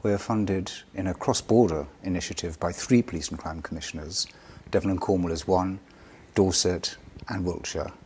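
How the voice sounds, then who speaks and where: A man's voice: deep voice, moderate pace, darkish tone. A man speaking for a charity in an interview, explaining things in an earnest way. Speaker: charity representative being interviewed. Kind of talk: interview answers. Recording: clear background noise.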